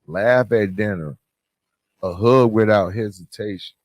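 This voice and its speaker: A man with a fairly low voice delivers a motivational podcast monologue in a close, dry recording. He talks conversationally and straight to his listeners, stressing key words as he goes. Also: unhurried, no room sound. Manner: conversational, addresses listeners directly as bro, man, guys. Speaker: podcast host.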